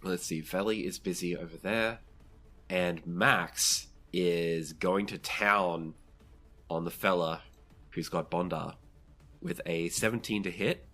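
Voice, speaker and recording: Tabletop game master, low-pitched voice, vivid and dramatic in describing the action. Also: slow, a bit bright, faint music behind. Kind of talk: narrating a tabletop role-playing game.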